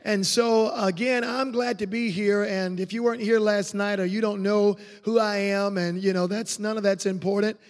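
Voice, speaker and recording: A man with a raspy voice preaching a revival sermon in a church, exuberant and full of hallelujahs. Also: high voice, fairly even intonation, normal speed, hardly any pauses. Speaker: guest evangelist. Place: church sanctuary. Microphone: handheld mic. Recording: echoey church hall.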